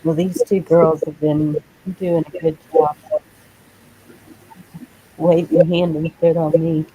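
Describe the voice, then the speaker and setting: A woman chatting casually in a halting way, often trailing off. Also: low voice, level tone, dark tone, slightly rough, highs cut off. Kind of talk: casual chat.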